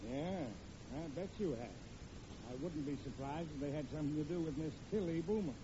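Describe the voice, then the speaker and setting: A man's voice: a little high, brisk, close to an everyday voice. A man playing a grumpy middle-aged American father, skeptical and needling, in old radio comedy acting. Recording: muffled, noisy vintage radio recording.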